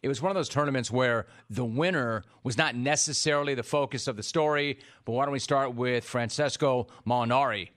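A man hosting a live sports radio show, introducing a guest and asking questions in a smooth, measured broadcaster delivery. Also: mid-range voice, a bit bright. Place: radio studio.

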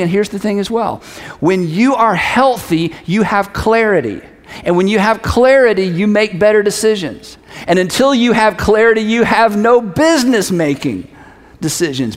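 Male pastor, middle-aged, high-pitched voice, conversational preaching, emphatic repetition, long hall reverb. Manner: emphatic, repeats key phrases. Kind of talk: conversational sermon. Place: large hall, preaching to an audience.

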